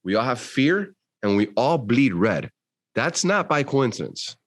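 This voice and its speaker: A man's voice: mid-range voice, very animated, measured pace. A man with a Cuban American accent, a podcast guest who talks in an emphatic, motivational way. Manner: emphatic, motivational, says dude. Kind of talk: casual conversation.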